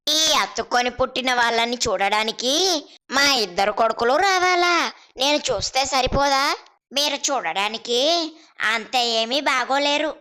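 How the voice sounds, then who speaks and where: A woman playing a haughty, rude and condescending mother in an animated moral story. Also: fairly high pitch, normal speed, smooth. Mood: scornful. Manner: scornful, condescending. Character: haughty, rude, scornful mother of two sons.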